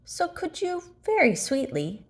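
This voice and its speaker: A woman's voice: very high voice, huge pitch swings, measured pace, pitched above the performer's own voice, full character voice. A woman voicing a curious, polite little boy in a children's storybook, asking questions eagerly. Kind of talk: children's storybook character reading.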